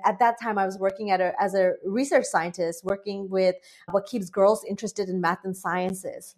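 A woman from Bangladesh in her late twenties or thirties, a breathwork facilitator talking earnestly and chattily as an interview guest. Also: medium pitch. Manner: earnest, chatty, many "like" fillers, animated quoted asides. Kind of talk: conversational interview.

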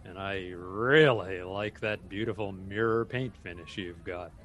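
A man's voice: low voice, a little slow, fairly bright tone. A man playing a curious, friendly roving reporter and host in a comedy sketch.

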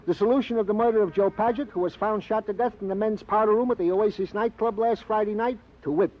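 A chatty comic police sergeant acted by a man in an old radio crime show. The voice is high for a man and rambles in hesitant, mock-official phrases. The recording is vintage and dull. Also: steady tone, fast, a little hiss or hum, natural voice. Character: comic, chatty, long-winded male police sergeant sidekick. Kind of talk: 1950s radio comic-relief acting.

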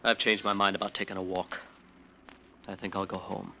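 An actor playing a jumpy, defensive American lawyer under pressure, curt and clipped, in an old radio crime drama. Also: male voice, low-pitched, level tone, fairly quick, gravelly, highs cut off. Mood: defensive, bitter. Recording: faintly noisy old radio recording.

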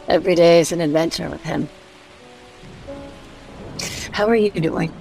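Low-pitched, wry old friend. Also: female voice, slow pace, vocal fry, a little mellow, soft background music, poor audio. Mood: teasing, fond. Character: wry, warm woman, old friend and mother. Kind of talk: radio drama acting.

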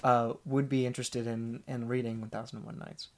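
A man talking casually as a podcast guest, relaxed and hesitant, with lots of fillers and restarts. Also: mid-range voice, brisk. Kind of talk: casual conversation.

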